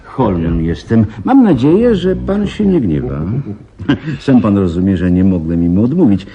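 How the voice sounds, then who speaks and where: Polite apologetic professor, soft dark lowish voice, slightly muffled noisy radio play. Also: male voice, very animated, unhurried. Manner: polite, formal. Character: courteous, apologetic professor, an accomplice uncle. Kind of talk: Polish radio play acting. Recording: dull, faintly noisy radio recording.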